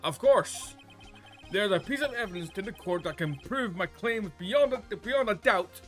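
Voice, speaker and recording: A man's voice: sits high, animated, unhurried, a bit bright, faint music behind, voice pushed up. A man voicing an earnest male defense lawyer in a courtroom video game, with a dramatic, self-questioning manner. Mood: determined.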